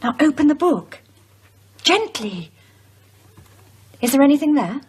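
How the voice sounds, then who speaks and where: A woman's voice: sits high, big pitch swings, unhurried, darkish tone. A woman playing an anxious, solemn widow haunted by a curse in an audio horror drama. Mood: anxious, insistent.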